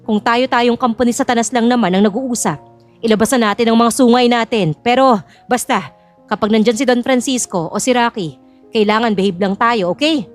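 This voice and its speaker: Babaeng gumaganap na tusong sakim na tiyahin. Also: female voice, a little high, fairly quick, faint music behind, close to an everyday voice. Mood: conspiratorial. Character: scheming greedy aunt, casual and sly.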